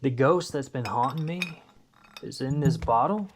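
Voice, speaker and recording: A man's voice: mid-range voice, measured pace, slight background noise, lightly acted. A man playing a doubtful, plainspoken, folksy client who has been haunted, in an audio drama. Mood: skeptical. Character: Otis, skeptical haunted male client, plainspoken. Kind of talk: audio drama character acting.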